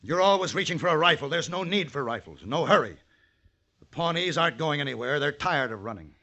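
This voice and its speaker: An actor playing a calm, principled gunfighter in a vintage radio western, speaking in a measured, firm, articulate way. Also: male voice, a little high, highs cut off. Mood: earnest, persuasive.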